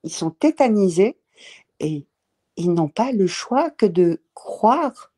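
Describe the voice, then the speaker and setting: A woman speaking in a casual panel discussion, emphatic and halting, with many fillers. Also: mid-range voice, very animated, mellow.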